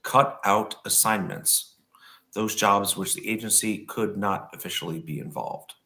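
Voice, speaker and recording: Hoarse, low-voiced male podcast host, relaxed and chatty. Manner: relaxed, chatty, with frequent fillers. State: hoarse, recovering from illness. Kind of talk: casual chat, reading notes aloud.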